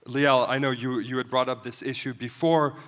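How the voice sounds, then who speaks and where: A man from Ukraine moderates a panel on a conference stage. His voice is bright and medium in pitch. It carries with long reverb through a big hall as he addresses the audience. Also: fairly even intonation, moderate pace. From Ukraine, then part of the USSR.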